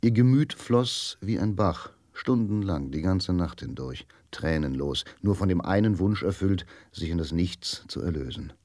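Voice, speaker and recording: A man's voice: sits low, lively intonation, unhurried, few pauses, a little mellow, dry recording, clean studio sound. A man narrating a crime story in a radio drama, with sober, scripted literary delivery. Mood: sober, lyrical. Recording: music behind the voice.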